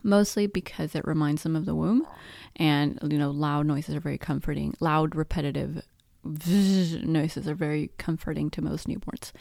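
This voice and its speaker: Low, gravelly-voiced woman giving casual advice. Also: normal speed, warm tone, dry recording, studio quality. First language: Spanish. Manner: chatty, self-deprecating, laughs often. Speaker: podcast host, baby sleep consultant.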